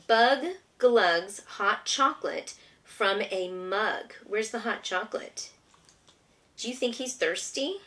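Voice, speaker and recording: A woman with a mid-range voice reads a picture book aloud at an unhurried pace. She speaks simply, as a mother teaching a young child at home. She pauses to prompt with easy questions and encourages the answers patiently. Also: mid-range voice, fairly bright tone. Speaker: homeschooling mother teaching her child to read.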